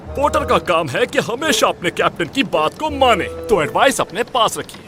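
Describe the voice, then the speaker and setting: A man playing a bossy, excitable, greedy ship's crewman in a Hindi cartoon dub. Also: high voice, moderate pace, over music.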